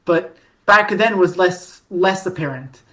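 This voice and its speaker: Low-pitched woman podcaster, halting and casual. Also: fairly bright tone. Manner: halting, repeats and restarts phrases often. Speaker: podcast host. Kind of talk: casual fan discussion.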